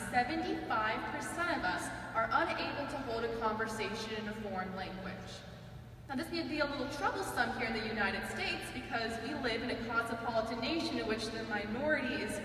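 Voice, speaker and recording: A young woman delivers a student speech in a slightly high voice, earnest and measured with a bit of dry wit. She is heard from a distance in a large, reverberant hall with a faint hiss underneath. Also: bright, forceful tone. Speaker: student speaker. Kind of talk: prepared speech. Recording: echoey hall, mic far off, faint hiss.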